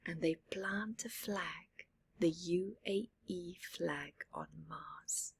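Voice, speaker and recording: A woman reading a children's picture book aloud, expressive, with soft laughs and whispered asides. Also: fairly low pitch, slow, slightly rough. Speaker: storyteller.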